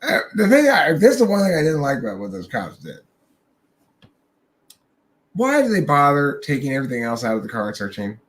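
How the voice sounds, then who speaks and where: A man's voice: fairly high pitch, huge pitch swings, a little fast, pauses often. Rambling, swearing young man. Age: young adult. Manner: rambling, profane storytelling with laughs. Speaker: podcast host. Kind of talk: casual livestream chat.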